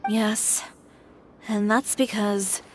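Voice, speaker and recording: A composed, proud young designer. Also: female voice, mid-range voice, steady tone, drawn-out words. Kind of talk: video game voice acting. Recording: game music under voice.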